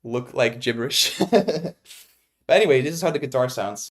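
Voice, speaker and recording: A young man from the Netherlands, talking casually on a live stream while he makes music at his computer, rambling with fillers and restarts and sudden excited outbursts. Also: medium pitch, moderate pace.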